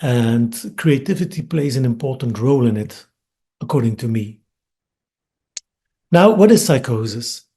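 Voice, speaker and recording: A man from Belgium with a Flemish Dutch accent, giving a webinar lecture over a video call, thoughtful and hesitant with frequent pauses and repeated words. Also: mid-range voice, lively intonation, warm tone. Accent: Dutch-speaking Belgian. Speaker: academic speaker. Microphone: computer mic on video call.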